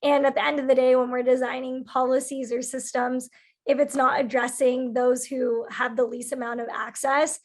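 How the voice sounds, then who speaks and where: A woman moderating a panel in an affirming, measured way. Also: high voice, little pitch movement.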